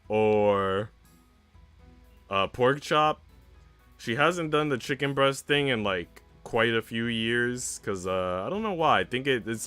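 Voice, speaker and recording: A man's voice: mid-range voice, bright, forceful tone, clear, resonant tone, dry recording. A young man streaming, chatting casually in a slangy, laid-back way. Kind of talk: casual livestream chat. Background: music.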